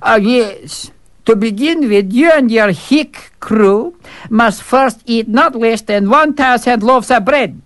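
A man voicing a sly, scheming male royal servant, in a high, drawn-out, pompous manner. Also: a bit bright, dry recording, heavily acted.

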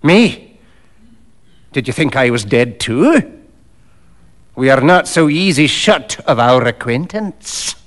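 A man's voice: medium pitch, very animated, slow, pauses often. A man voicing a bitter, shabby old drunkard in a storybook reading, scornful and defiant, with blunt, declamatory speech. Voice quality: loud, forceful cries.

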